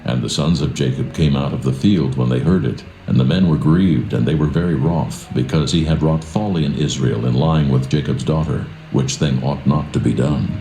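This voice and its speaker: A man narrating scripture in a dramatised reading, solemn and measured. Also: a bass voice. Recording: roomy echo, soft music behind.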